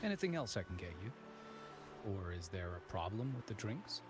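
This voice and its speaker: An actor voicing a guarded bartender informant in a video game, wry and deliberate with quiet menace and formal wording. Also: male voice, low-pitched, sing-song. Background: game music.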